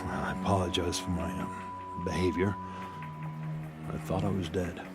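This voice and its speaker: A man's voice: deep voice, steady tone, moderate pace, natural voice. A man playing a proud but battered soldier in a video game, with a stiffly formal, haughty manner. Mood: indignant, sheepish. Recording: music behind the voice.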